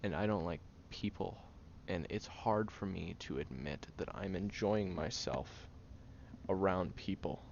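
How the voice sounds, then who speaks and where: Deep, gravelly, guarded tsundere man, acted out. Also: dull audio, slight background noise. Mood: reluctant, firm. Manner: halting, stern yet caring, often dropping to a hush. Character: guarded, prickly tsundere young man nursing a sick friend. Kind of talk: intimate audio roleplay to the listener.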